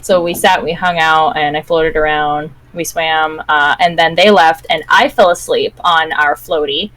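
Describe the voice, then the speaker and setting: A woman's voice: sits low, fairly even intonation, unhurried, a bit bright, rough recording. A woman co-hosting a podcast, telling everyday anecdotes in casual conversation. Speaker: podcast co-host. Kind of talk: casual live-stream chat with anecdotes.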